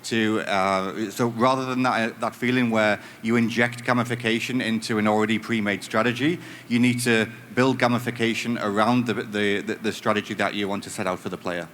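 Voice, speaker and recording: A man's voice: lowish voice, fairly even intonation, brisk, hardly any pauses, a bit bright, slight background noise. A man speaking as a panelist at a conference, explaining with many ums and restarts. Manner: hesitant, many fillers. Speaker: panelist, business director. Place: conference stage.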